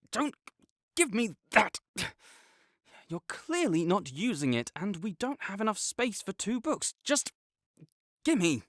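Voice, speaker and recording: A smug young academic rival, voiced by a performer teases and talks down with sarcastic, half-flustered condescension. The delivery is slow and drawn out, with pitch swinging widely from line to line. The voice sounds dry and close, with no room around it. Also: a bit bright, no room sound. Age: young adult. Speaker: audio roleplay performer. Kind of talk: scripted audio roleplay acting.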